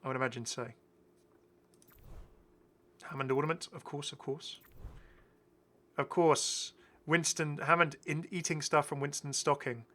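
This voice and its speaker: A man's voice: fairly high pitch, steady tone, a little slow, pauses often, pushed and bright. A man hosting a gaming video with casual, enthusiastic and chatty commentary. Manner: chatty, prone to chuckling asides.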